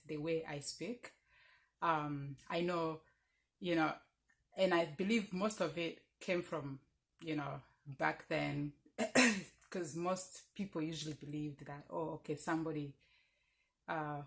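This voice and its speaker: Low-voiced woman with a Ugandan Lugbara accent. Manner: reflective, earnest, with many fillers and restarts. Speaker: vlogger. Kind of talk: casual personal talk to camera.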